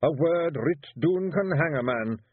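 A man doing a gruff little Scottish pixie chief. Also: fairly high pitch, expressive, a little slow, dry recording, phone-quality sound, voice pushed up. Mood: grumbling. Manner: gruff growling bluster. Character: fierce tiny male pixie clan chief, stubborn.

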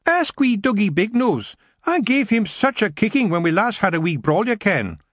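A man's voice: high-pitched, very animated, moderate pace, fairly bright tone, no echo, studio quality, pitched above the performer's own voice, exaggerated voice. A man voicing a sulky young female fairy with a Scottish accent, wheedling and pouty.

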